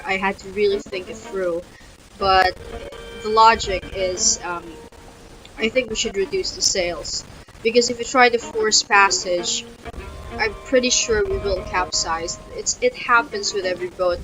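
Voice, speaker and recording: A woman, casually talking through a story game and reading its text aloud, thinking out loud with frequent restarts. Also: medium pitch, steady tone, a little slow, rough recording. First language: Filipino. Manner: chatty, talks through her choices with restarts. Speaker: gaming YouTuber. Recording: loud game music behind the voice.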